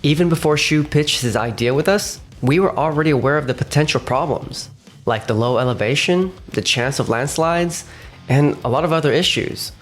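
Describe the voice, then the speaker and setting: A man's voice: fairly high pitch, normal speed, faint music behind, natural voice. A man playing an earnest young businessman in a romcom manga dub, with explanatory scripted delivery. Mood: matter-of-fact.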